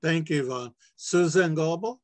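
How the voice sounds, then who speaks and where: A man's voice: a little high, moderate pace, a little mellow, no room sound. A man hosting a group meeting on a video call, introducing members one by one in an informal way. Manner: informal, with fillers. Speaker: meeting host. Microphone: computer mic on a video call.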